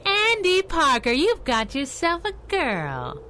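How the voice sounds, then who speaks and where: Brief: streetwise crimefighting sidekick, sassy and knowing, animated pitch, bright edge, old-time radio serial. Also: female voice, takes it slowly, a little hiss or hum, full character voice. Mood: playful, knowing. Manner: wisecracking, teasing, knowing. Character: sassy masked heroine, teasing, brash, streetwise young woman. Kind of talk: 1930s radio adventure serial acting.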